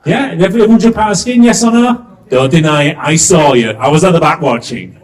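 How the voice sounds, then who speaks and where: A man's voice: fairly high pitch, expressive, a little fast. A middle-aged man with a Yorkshire accent compering a live event, chatty and jokey, asking the crowd questions. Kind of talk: live stage compering to an audience. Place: event stage before a live audience.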